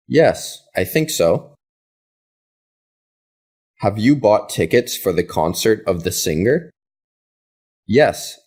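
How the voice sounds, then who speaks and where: A man reading practice questions and answers for an English lesson in a slow, deliberate way. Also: on the low side, a little mellow.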